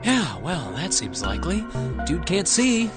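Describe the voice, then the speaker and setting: A man's voice: high-pitched, huge pitch swings, a little slow, loud music behind the voice, full character voice. A man voicing a sarcastic, cynical young punk in an anime-style game. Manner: sarcastic, slangy.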